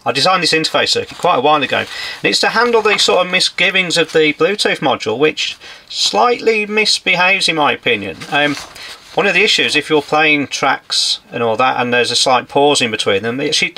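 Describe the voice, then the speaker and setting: A man in his forties gives casual, practical commentary while he works at an electronics bench. His voice is bright and forward. There is faint noise and workshop ambience behind him. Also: on the high side, lively intonation, brisk. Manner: informal, explains as he works. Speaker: electronics repairer presenting a workshop video. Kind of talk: hands-on demonstration commentary, casual. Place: indoor electronics workshop at a workbench.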